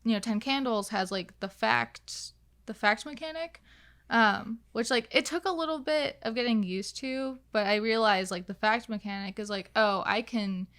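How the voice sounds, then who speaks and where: A woman's voice: fairly high pitch, normal speed, a bit bright. A woman speaking as a podcast guest in casual conversation, thinking aloud with frequent filler words. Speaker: podcast guest, game designer. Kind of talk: casual chat.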